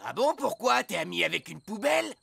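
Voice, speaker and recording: An actor voicing a tough, mocking male raccoon gang leader with a gravelly, sneering street-thug drawl in a cartoon. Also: medium pitch, sing-song, a little fast, very noisy. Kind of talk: exaggerated French cartoon dubbing. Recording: loud music behind the voice. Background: music.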